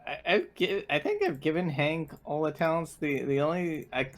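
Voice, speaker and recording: A man's voice: on the high side, normal speed. A man talking casually as a player in a tabletop roleplaying game. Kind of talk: casual chat.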